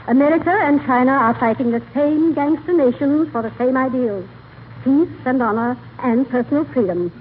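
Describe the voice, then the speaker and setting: An actress playing a haughty, earnest high-society clubwoman with grand, prim diction in a 1940s radio comedy. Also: normal speed, natural voice. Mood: earnest appeal. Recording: tinny, faintly hissy vintage radio broadcast.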